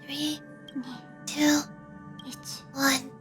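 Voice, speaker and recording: Woman playing a high, gentle mysterious girl. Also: completely flat delivery, very slow, music in the background. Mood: gentle, reassuring. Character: gentle, earnest, mysterious young woman from a fantasy game.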